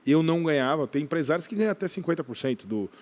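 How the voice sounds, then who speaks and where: Brazilian man, halting conversational delivery. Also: fairly high pitch, expressive, a little mellow. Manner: halting, repeats words, frequent tag questions. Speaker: podcast guest, gym brand owner. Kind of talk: casual podcast interview.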